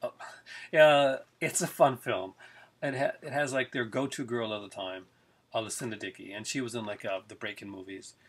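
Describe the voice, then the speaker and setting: A man's voice: medium pitch, fairly even intonation, normal speed, slightly rough. A middle-aged man talking casually and eagerly about his film collection, rambling with many fillers, recorded in his living room on a webcam. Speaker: collector reviewing films. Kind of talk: casual video review. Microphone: webcam at close range.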